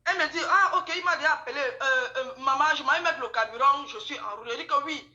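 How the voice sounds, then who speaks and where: High, bright-voiced woman gossiping with emphasis. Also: fast talker, dull audio. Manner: animated storytelling, repeats phrases for emphasis. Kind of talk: casual gossipy chat. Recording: echoey room.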